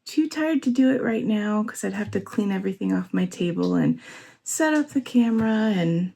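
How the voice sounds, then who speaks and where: A young woman vlogging casually at home, her voice a little hoarse and sore, excitable and laughing often. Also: mid-range voice, normal speed. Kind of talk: casual vlog chat. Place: home, indoors.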